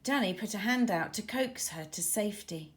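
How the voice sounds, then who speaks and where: A woman narrating a scripted children's story in a dramatic, expressive manner. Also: medium pitch, normal speed. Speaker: author narrator.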